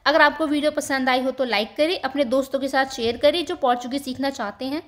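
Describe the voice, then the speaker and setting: Female language tutor, high voice, calm and patient, slows down to model example words. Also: a little hiss or hum. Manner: mixes English terms into her talk. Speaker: language teacher. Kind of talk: explaining a language lesson over slides.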